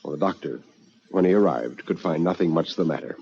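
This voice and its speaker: An actor playing an elderly, anxious but philosophical man, narrating in a deliberate, literary manner for radio drama. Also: sits low, dark tone, a little hiss or hum. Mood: reflective, resigned. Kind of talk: old-time radio dramatic reading. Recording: muffled vintage radio recording with music.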